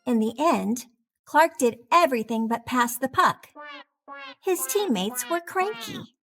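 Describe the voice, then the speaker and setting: A woman narrating a children's picture book aloud with expressive, deliberate phrasing. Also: high-pitched, soft background music.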